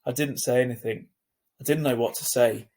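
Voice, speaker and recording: A man narrates a children's novel aloud in the way of a teacher reading to pupils. His voice sits in a middle register and has a husky grain. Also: medium pitch, steady tone, moderate pace, a little mellow. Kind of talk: scripted storybook narration.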